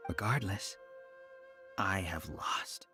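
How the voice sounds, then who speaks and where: An actor playing a proud, bitter scholar villain in a video game, with a sardonic, wounded, dramatic delivery. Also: male voice, sits low, bright, forceful tone, exaggerated voice. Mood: defeated.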